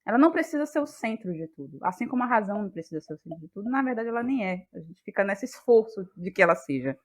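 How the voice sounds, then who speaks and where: A woman's voice: medium pitch, very animated, quick pace. A woman, an interview guest explaining in a searching, conversational way and repeating phrases for emphasis. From Bahia, Brazil. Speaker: interview guest, playwright and actress.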